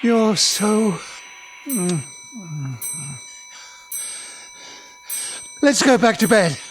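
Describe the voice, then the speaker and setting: An actor playing a besotted, sentimental man in an audio drama, halting and musing, with breathy exclamations. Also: sits high, animated, a little hiss or hum, low-quality recording. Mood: smitten. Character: besotted sentimental man, aged twenty and reminiscing at eighty.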